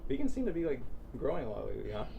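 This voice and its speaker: Fast-talking male host, echoey room. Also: mid-range voice, huge pitch swings, gravelly, highs cut off, noisy background. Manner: brisk, upbeat, fillers like "like" and "uh". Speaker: host, strength coach. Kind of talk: casual interview hosting. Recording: echoey room with music underneath.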